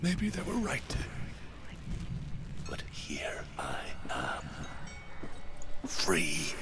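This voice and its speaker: An actor playing a haunted, fervent survivor of captivity in a dramatic video game, with an intense, theatrical delivery and a gravelly voice. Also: male voice, low-pitched, huge pitch swings, very slow, whispered, exaggerated voice. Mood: haunted, reflective. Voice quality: gravelly, breathy at times. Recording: loud ambient noise behind.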